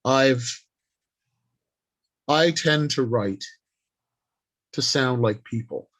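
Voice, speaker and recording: A man presents an informal workshop on the craft of writing at a slow pace. He delivers his advice deliberately, in short phrases broken up by pauses. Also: mid-range voice, fairly bright tone. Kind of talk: informal instructional talk on writing.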